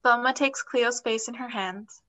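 A young woman narrates stage directions from a script. Her voice is bright and crisp-edged, pitched a little high. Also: a little slow.

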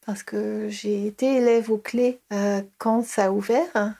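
A woman's voice: mid-range voice, steady tone, measured pace, vocal fry, mellow, a little hiss or hum. A middle-aged woman recalling her school years in a casual interview, hesitant, with many fillers and restarts. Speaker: interviewee, early childhood educator.

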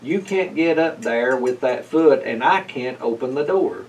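A man's voice: medium pitch, lively intonation, unhurried, close to an everyday voice. A man reading the part of a stubborn, sassy little cat in a storybook, firm and matter-of-fact. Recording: distant mic, slight background noise.

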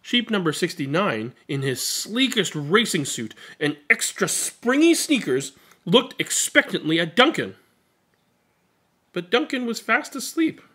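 A man in his thirties doing an expressive picture-book read-aloud with casual commentary. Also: sits high, a little slow, slightly rough. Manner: expressive, animated storytelling. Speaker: read-aloud storyteller and host. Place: small indoor room at home.

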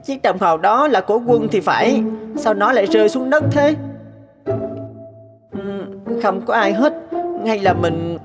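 A woman's voice: mid-range voice, animated, unhurried, long pauses, close to an everyday voice. A woman voicing an anxious schoolboy in a cartoon, earnest and childlike. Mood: worried. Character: anxious schoolboys in a moral tale. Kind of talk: cartoon dubbing. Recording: loud guitar music behind.

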